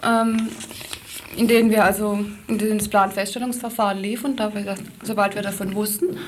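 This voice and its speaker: A woman's voice: mid-range voice, level tone, fairly quick, a little hiss or hum. A woman speaking as a campaign spokesperson in an interview, giving detailed, factual answers with hesitations and self-corrections. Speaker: activist spokesperson being interviewed. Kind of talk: spontaneous explanatory interview answers.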